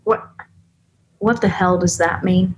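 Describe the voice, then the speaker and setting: A woman reading the part of a suspicious investigator in a screen drama. Her replies are terse and guarded, with an unsettled edge. There is clear background noise and ambience around her voice. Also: on the low side, slow, rough recording. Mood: wary, terse. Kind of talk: screenplay table read.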